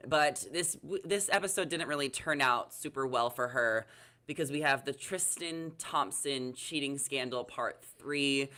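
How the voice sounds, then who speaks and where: A man's voice: medium pitch, pushed and bright. A man hosting an entertainment recap show in a chatty style, leading the discussion and handing over to panelists.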